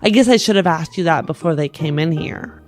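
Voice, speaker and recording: A woman playing a polite, compassionate wife who hopes to adopt, gentle and hesitant, in a tabletop game. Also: deep voice, a little fast, faint music behind, close to an everyday voice. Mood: polite, coaxing.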